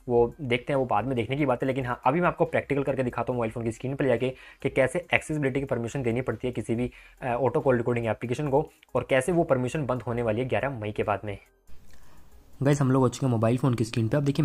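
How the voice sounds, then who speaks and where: A man presents a tech tutorial. He talks at a fast clip in a mid-pitched voice. His delivery is conversational and explanatory, going step by step and often checking that the listener follows. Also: darkish tone. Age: 20s. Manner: conversational, explains step by step with frequent tag phrases. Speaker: host of a tech tips channel. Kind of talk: explanatory tech vlog to camera and screen tutorial. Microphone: clip-on lapel mic.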